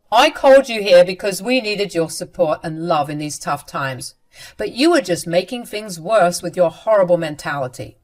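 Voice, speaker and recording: A woman voicing a spiteful, self-righteous sister-in-law who sneers and preaches at her family in a story reading. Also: mid-range voice.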